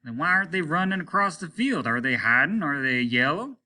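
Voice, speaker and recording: A man reading a story and voicing an irritable, weary, aging rocket captain who is commanding and scornful, snapping out indignant questions in a sharp voice. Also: a little high, lively intonation, fairly quick, pitched above the performer's own voice. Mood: irritated. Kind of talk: audiobook character voice.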